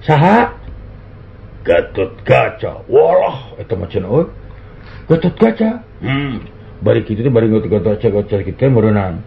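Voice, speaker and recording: A man telling comic folk tales and jokes, doing quick voices for the characters in his stories. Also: on the low side, big pitch swings, vocal fry. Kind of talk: comic storytelling in a duo. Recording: dull lo-fi recording with faint hiss.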